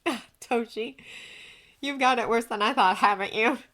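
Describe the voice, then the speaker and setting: A woman reads as a thoughtful male mentor in a storybook. His voice is bright and clear-edged, with a wry, teasing, murmuring manner. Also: a little high, moderate pace, voice pushed up. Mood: fond amusement.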